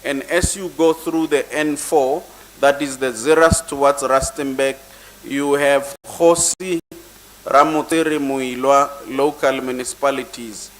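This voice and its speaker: A man, a local councillor, giving an earnest formal welcome speech in short measured phrases. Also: mid-range voice, fairly even intonation, fairly bright tone, rough recording.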